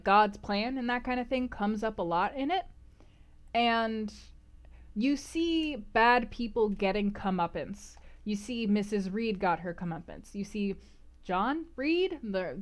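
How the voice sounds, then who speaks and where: A woman hosting a casual book club livestream, discussing and answering chat in an animated, emphatic, conversational way. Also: fairly high pitch.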